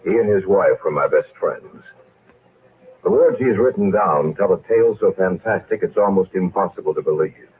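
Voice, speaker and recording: An actor playing a grave man narrating a haunted tale in solemn, measured, portentous old-time radio style. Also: low voice, expressive. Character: grave man reading a dead friend's diary aloud. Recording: muffled, noisy old radio recording.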